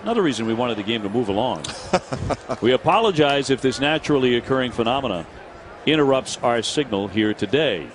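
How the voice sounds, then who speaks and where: Baseball announcer over ballpark noise. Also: male voice, medium pitch, expressive. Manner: brisk, conversational, reads promos. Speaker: play-by-play announcer. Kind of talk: baseball broadcast commentary. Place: ballpark broadcast booth.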